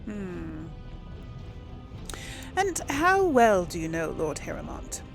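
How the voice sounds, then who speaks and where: A female gaming host chats casually through a role-play game. She speaks slowly and easygoingly at a medium pitch, with game music clearly behind her. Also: very animated. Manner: easygoing, talking to herself and the audience. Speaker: gaming host playing and commenting.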